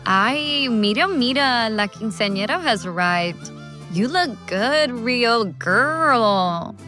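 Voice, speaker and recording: Slow-talking teasing teenage bestie. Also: female voice, fairly high pitch, expressive, pushed and bright, soft background music, exaggerated voice. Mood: teasing, admiring. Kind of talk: audio fiction drama acting.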